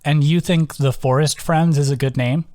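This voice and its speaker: A man's voice: fairly high pitch, fairly even intonation, normal speed, darkish tone, dry recording, clean studio sound, lightly acted. Man voicing a wry, questioning young woman. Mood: wry, teasing.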